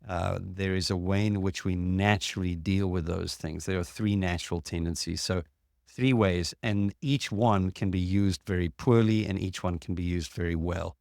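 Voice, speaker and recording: Deep-voiced man explaining calmly. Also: creaky, no room sound, clean studio sound. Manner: measured, explanatory, with frequent fillers. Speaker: podcast host and clinician. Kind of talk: casual conversational explanation.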